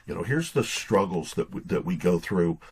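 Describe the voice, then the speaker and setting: A man's voice: sits low, expressive, moderate pace, breathy edge. A man in his sixties telling stories casually as an interview guest, in a folksy, earnest way with stop-and-start phrasing. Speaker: podcast guest, pastor and author.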